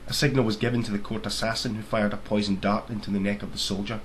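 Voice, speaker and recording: A man's voice: on the low side, level tone, fast talker. A man reading his own fairy tale aloud as scripted storybook narration. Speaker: author reading own book. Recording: faint background noise.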